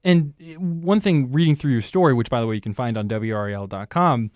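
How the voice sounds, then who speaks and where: A man's voice: mid-range voice, fairly quick, darkish tone, dry recording. A man hosting a news podcast in a conversational interview style.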